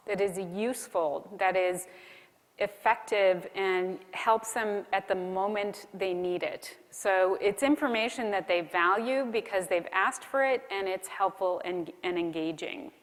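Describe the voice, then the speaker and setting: A woman giving a keynote presentation to a conference room, explaining things deliberately with emphatic pauses. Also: medium pitch. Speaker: keynote speaker. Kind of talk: conference presentation on marketing to a live audience. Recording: reverberant hall sound.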